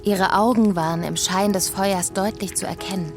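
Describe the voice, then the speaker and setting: A woman's voice: medium pitch, steady tone, moderate pace, faint music behind, natural voice. A woman playing a young heroine who narrates her space adventure in a reflective, wistful, scripted first person.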